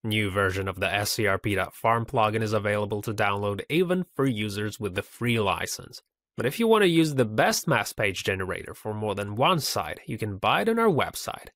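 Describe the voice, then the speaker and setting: A man presenting a scripted software tutorial voice-over, upbeat and giving step-by-step instructions. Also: lowish voice, lively intonation, a little fast, dry recording, clean studio sound.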